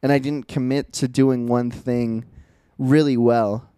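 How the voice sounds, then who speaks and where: A man's voice: mid-range voice, fairly even intonation, unhurried, dark tone, clean tone, dry recording, clean studio sound. A man in his twenties, co-hosting a casual podcast chat, telling stories in an earnest, reflective way. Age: 20s. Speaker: podcast co-host.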